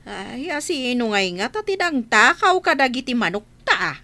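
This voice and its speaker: A woman's voice: fairly high pitch, very animated, normal speed, bright, forceful tone, full character voice. A woman playing an older village mother in an Ilocano comedy radio drama, anxious, nagging and fretful, with an Ilocano accent. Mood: alarmed.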